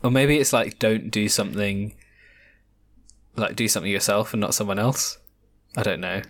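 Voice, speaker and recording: A woman's voice: extremely low pitch, normal speed. A woman co-hosting a podcast, chatting in a playful, informal way as if with a friend. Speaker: podcast co-host. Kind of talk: casual chat between friends.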